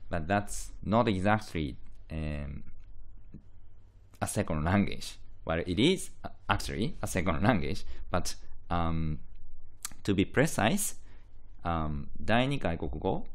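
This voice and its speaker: A man's voice: low-pitched, lively intonation, takes it slowly. A man with a Japanese accent, a native Japanese speaker, giving a lecture that explains terms, hesitantly repeating and restating key phrases. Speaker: university lecturer in linguistics.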